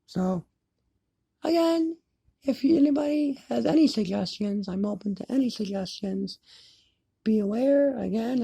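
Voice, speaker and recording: A woman with a low-lit, mellow voice and a lot of fry in it talks casually to her viewers. She is plain-spoken and halting, often circling back over what she said. The sound is close and dry. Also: no room sound. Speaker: hobbyist video maker. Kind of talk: casual chat to camera. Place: indoors in an apartment, by a window.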